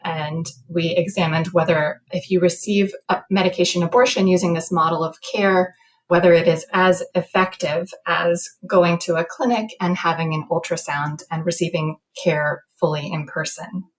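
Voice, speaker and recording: Slightly low-voiced American woman explaining research. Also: fairly even intonation, moderate pace, poor audio. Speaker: guest researcher, epidemiologist.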